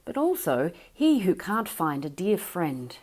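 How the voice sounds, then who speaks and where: A woman's voice: on the low side, very animated, a little slow, darkish tone. A woman voicing a proud young male lyrebird in a storybook reading, who talks theatrically and mimics bird and animal calls.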